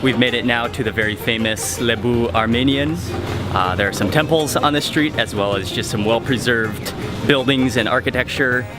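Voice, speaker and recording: A man casually narrating a travel vlog while walking outdoors, relaxed and chatty with fillers. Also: mid-range voice, moderate pace. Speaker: travel vlogger. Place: outdoors on city streets. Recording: music bed and traffic behind.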